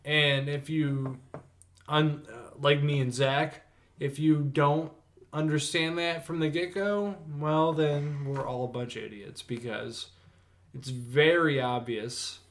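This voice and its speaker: A man's voice: a little high, fairly even intonation, slow pace. A man co-hosting a casual horror movie podcast, rambling with lots of fillers. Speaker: podcast co-host. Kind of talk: casual chat.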